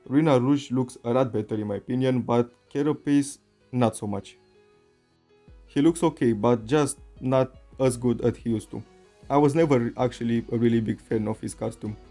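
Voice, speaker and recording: A man's voice: mid-range voice, warm tone. A man whose first language is Romanian, hosting a chatty fan commentary video in English. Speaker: channel host.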